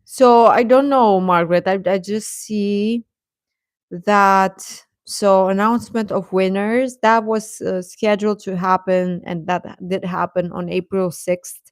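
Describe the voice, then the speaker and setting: Slow-paced woman chatting casually on a livestream. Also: medium pitch, fairly even intonation, dry recording. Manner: chatty, reacting to viewers' comments with questions and repeated phrases. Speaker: livestream host. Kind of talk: casual livestream chat with viewers.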